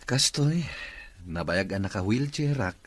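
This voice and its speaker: A man's voice: fairly low pitch, expressive, a little slow. A man acting a boss who has recovered from illness, with an Ilocano accent, in a radio drama. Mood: friendly. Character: male boss, a recovered former patient.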